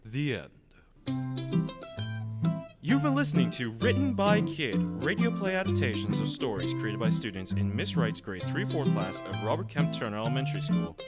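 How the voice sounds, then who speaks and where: A man's voice: mid-range voice, very animated, moderate pace. A teenage young man reading scripted storybook narration for a children's radio play. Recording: acoustic guitar music behind.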